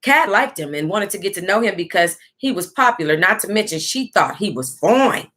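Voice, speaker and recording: An American woman hosting an online book club, reading aloud casually in an animated, salty-tongued way and breaking off to react. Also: medium pitch, normal speed. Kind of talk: casual livestream read-aloud with running reactions.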